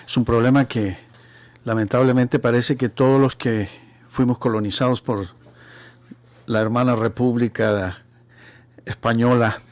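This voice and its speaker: A man's voice: mid-range voice, fairly even intonation. Chilean-accented man in a panel talk. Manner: measured, repeats words while searching for terms. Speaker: guest panelist. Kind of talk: panel discussion.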